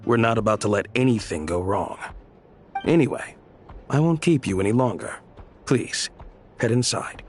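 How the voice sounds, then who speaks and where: A man's voice: on the low side, expressive, normal speed, long pauses, soft background music, natural voice. A man playing a polite, dutiful undercover guard in a video game, speaking in a formal, reassuring way.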